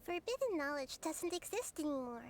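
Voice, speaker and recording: A woman playing a small, wise, kindly young goddess in a video game, calm and earnest. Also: sits high, normal speed, a little hiss or hum. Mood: reassuring. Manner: calm, earnest, softly reassuring.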